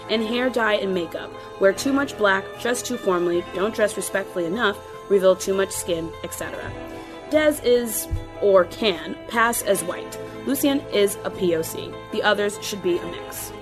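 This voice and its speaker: A woman reads scripted stage directions in a measured manner. Her pitch is mid-range, and music plays clearly behind her. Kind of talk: scripted narration.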